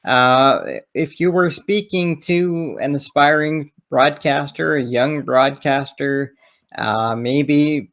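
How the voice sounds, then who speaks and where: A man hosting an interview, putting questions to his guest in a conversational way. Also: medium pitch. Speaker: interview host.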